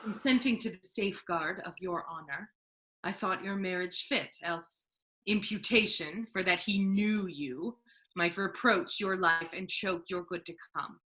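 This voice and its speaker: A woman playing a stern, authoritative male duke in a measured, formal Shakespearean stage reading. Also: lowish voice, fairly bright tone, rough recording. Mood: cool, authoritative.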